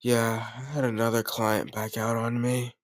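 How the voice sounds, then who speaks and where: A man's voice: medium pitch, flat delivery, normal speed, no echo, clean studio sound, lightly acted. A man playing a doting but ruthless mafia boss in an intimate audio roleplay, commanding yet affectionate, using gangster slang. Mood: irritated, cold.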